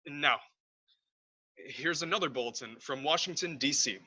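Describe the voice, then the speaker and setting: A man's voice: on the high side, normal speed, bright, forceful tone, slightly rough, heavily acted. A man acting a serious radio news announcer in a radio play, reading bulletins formally. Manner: formal, scripted. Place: room at home. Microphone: headset.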